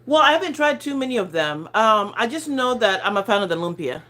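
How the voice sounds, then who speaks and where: A woman's voice: medium pitch, big pitch swings, a little fast, pushed and bright. A woman in her thirties with a non-native accent, chatting casually on a phone livestream, rambling, laughing and stumbling over words. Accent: non-native English accent. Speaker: lifestyle channel host chatting on a livestream. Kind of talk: casual live chat reading viewer comments.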